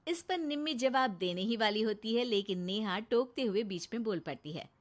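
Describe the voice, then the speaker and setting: A woman tells a cartoon moral story as its narrator. Her voice is fairly high and animated, with lively rises and falls. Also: normal speed. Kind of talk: scripted Hindi storytelling for a cartoon.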